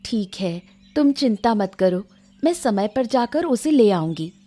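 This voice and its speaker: A woman voicing a caring village wife in a cartoon story, affectionate and gently nagging. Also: fairly high pitch, expressive, a little slow. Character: caring village wife, mildly chiding homemaker. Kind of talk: Hindi cartoon moral story acting.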